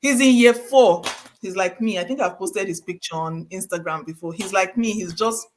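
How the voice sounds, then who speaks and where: A woman's voice: mid-range voice, normal speed, darkish tone, a little hiss or hum. A middle-aged woman from Nigeria, hosting a live-streamed conversation and telling stories in an earnest, emphatic way. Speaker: host of a live session.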